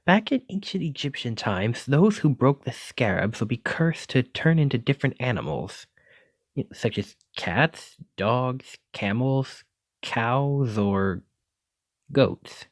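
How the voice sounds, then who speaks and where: Man acting a fussy, kindly wizard, animated pitch. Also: takes it slowly, no room sound. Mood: alarmed. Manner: fussy, apologetic, gently courteous, stammering when flustered. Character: clumsy, kindly wizard bookseller teaching magic. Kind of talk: ASMR roleplay addressed to listener.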